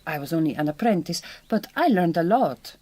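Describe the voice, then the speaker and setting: An actress playing a direct, kind but quietly wounded Hungarian migrant farm worker in a radio drama, with a Hungarian accent and careful, reassuring speech. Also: female voice, lowish voice, animated, brisk, no echo. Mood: eager, reassuring.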